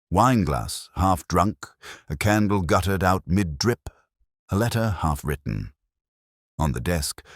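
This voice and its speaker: Very deep-voiced, brooding detective played by a man. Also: no echo, studio quality, full character voice. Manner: measured, literary, wry. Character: brooding, world-weary male Oxford detective recalling an old case. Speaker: narrator. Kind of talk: scripted first-person mystery audio drama narration.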